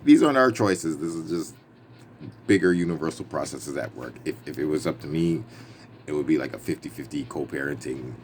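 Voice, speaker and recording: A man with a very low-pitched voice reads from a book with emphasis and a playful streak. He slips between the written text and casual, wry commentary. Clear background noise runs through the recording. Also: expressive, a little fast. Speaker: reader and commentator. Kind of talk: book reading with casual ad-lib asides. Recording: clearly noisy background ambience.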